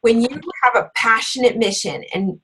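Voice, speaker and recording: Hoarse woman chatting casually. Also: on the high side, normal speed, a bit bright, slight background noise, rough recording. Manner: chatty, with frequent fillers and laughs. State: hoarse from being sick. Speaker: fitness coach and book club host. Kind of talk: casual book club chat.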